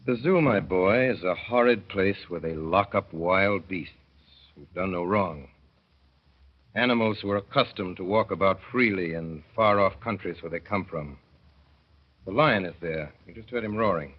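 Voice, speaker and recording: Deep husky man narrating old radio drama. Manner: wry, mournful storytelling, slowing to dwell on sad images. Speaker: narrator and father. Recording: dull old radio broadcast.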